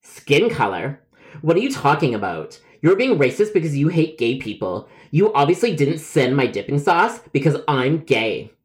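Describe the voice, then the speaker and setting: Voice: medium pitch, sing-song, normal speed, close to an everyday voice. A man voicing a whiny, melodramatic male customer with a grievance in a comedic phone story. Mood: outraged. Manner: melodramatic, whining, yelling.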